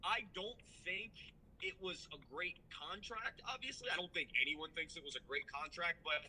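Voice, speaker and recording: A man in his twenties co-hosting a sports podcast, talking in an excitable, emphatic way. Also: fairly high pitch, moderate pace, a little hiss or hum, poor audio. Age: 20s. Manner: excitable, emphatic, says "dude" a lot. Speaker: podcast co-host. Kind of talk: casual sports chat.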